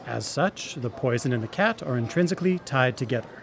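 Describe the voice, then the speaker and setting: An actor playing an earnest engineer in a live radio drama, explaining things in a measured, slightly hesitant manner. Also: male voice, medium pitch, lively intonation, vocal fry, music in the background. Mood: earnest, explanatory.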